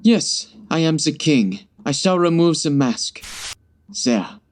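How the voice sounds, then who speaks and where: A man's voice: fairly high pitch, lively intonation, slow, a little mellow. A man playing a grandiose, formal Bohemian king in a comedy audio drama. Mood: stately. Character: stately, formal Bohemian king in disguise as count.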